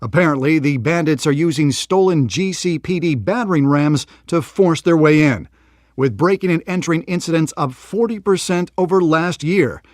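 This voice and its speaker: A man's voice: on the high side, moderate pace, a little mellow, no room sound, studio quality, natural voice. An actor playing a polished male news anchor, reading scripted bulletins for a game in an emphatic, projected newsreader delivery. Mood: serious, urgent.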